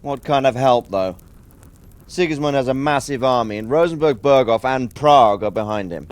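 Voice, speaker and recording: A man's voice: mid-range voice, lively intonation, normal speed, natural voice. An actor playing a careful, skeptical medieval nobleman with a dry, questioning manner in a game cutscene. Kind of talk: dramatic game cutscene acting.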